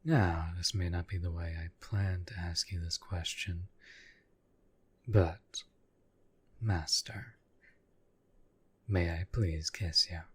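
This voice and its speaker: Male actor as a lovestruck demon servant, low-pitched, unhurried, courtly, breathy, intimate roleplay audio. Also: steady tone, a bit bright, no echo, full character voice. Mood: tender. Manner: slow, formal, courtly phrasing. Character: devoted, lovestruck male demon bound to a summoner.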